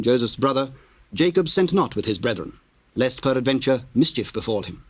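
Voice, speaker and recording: A man's voice: mid-range voice, dry recording. Solemn male scripture narrator, dry sound. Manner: measured, formal, theatrical diction.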